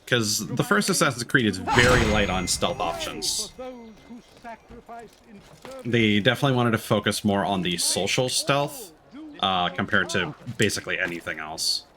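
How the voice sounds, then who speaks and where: Very deep-voiced man, casual game streamer. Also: animated, moderate pace, crowd noise. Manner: musing, thinking aloud. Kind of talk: casual gaming livestream commentary.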